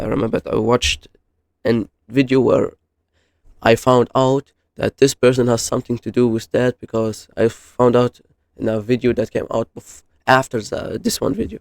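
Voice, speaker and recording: Man, casual explainer, halting and rambling, creaky voice, dry close sound. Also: medium pitch, a little mellow, dry recording. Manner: halting, rambling, self-correcting. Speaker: YouTube host reviewing horror videos. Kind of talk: casual unscripted explainer commentary.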